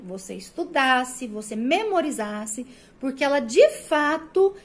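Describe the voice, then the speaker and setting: A woman from Brazil with a Brazilian Portuguese accent that pulls the R, giving a recorded prep-course lecture in an explanatory way, full of rhetorical questions and "né?" tags. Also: sits high. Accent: Brazilian Portuguese, rolled R of the interior. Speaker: Portuguese teacher.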